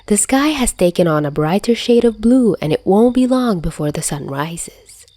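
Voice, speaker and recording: A woman's voice: medium pitch, expressive, normal speed, warm tone, no echo. A woman reading scripted fiction expressively as an amateur audio narrator on a close home microphone. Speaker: podfic reader.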